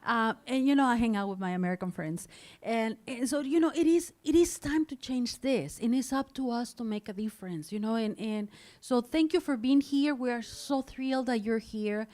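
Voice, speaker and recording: A woman's voice: fairly high pitch, expressive, moderate pace, darkish tone. A woman whose first language is Spanish, giving a passionate, opinionated speech at a live event. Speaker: advocate speaker. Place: indoor event venue.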